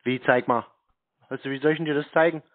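A man's voice: medium pitch, steady tone, fast, no echo. A man chatting casually on the phone, playing naive and agreeable. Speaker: host posing as a scam victim on a prank-call show. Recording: tinny, band-limited phone line.

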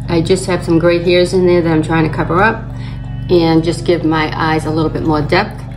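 Calm older woman, slightly raspy. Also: low-pitched, moderate pace, over music, low-quality recording. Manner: calm step-by-step explaining. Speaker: beauty channel host. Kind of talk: makeup tutorial narration. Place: indoors in front of a plain backdrop.

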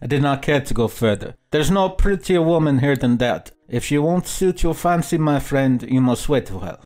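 A man's voice: medium pitch, moderate pace, a little mellow, voice pushed up, lightly acted. A man voicing a sly, sneering army captain in an audiobook, mocking, blustering and commanding. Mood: teasing. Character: sly, sneering military captain, harsh recruiting bully. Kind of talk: audiobook character dialogue.

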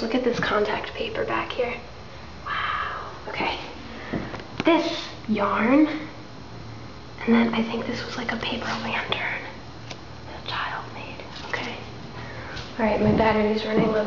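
Soft-spoken woman touring an empty house. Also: fairly high pitch. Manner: soft, half-whispered, pointing things out. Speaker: urban explorer filming a tour. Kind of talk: casual walkthrough narration. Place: empty abandoned row house, indoors. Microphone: handheld camera. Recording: roomy, dull lo-fi camera audio with hiss.

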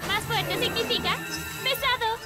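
Very high, friendly young girl train. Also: unhurried. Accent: Latin American Spanish. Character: cheerful, reasonable young female talking train. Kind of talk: Spanish-language animated children's cartoon dub. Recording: loud music behind the voice.

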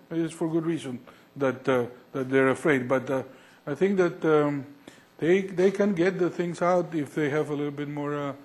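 A middle-aged man from Peru, an engineer answering audience questions after a lecture, talking in a halting way with many fillers and restarts, recorded on a lapel mic in a lecture hall. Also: on the high side. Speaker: structural engineer presenting. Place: lecture hall with projection screen.

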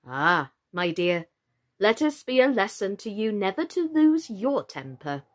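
An old mother crab from a children's storybook, acted by a woman. She speaks slowly, in a preachy, admonishing way. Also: medium pitch, big pitch swings. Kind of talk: children's storybook character reading.

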